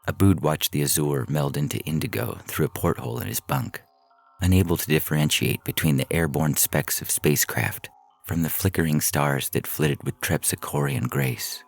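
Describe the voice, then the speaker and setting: A man narrating science fiction stories in a measured, deliberate way, with a gravelly voice. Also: extremely low pitch. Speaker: podcast story reader. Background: music.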